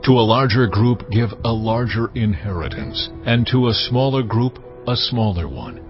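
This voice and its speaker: An actor playing God as a solemn lawgiver, measured and commanding, in a dramatised scripture reading. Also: male voice, mid-range voice, lightly acted. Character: God, solemn male lawgiver speaking to Moses. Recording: slightly muffled, music underneath. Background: background music.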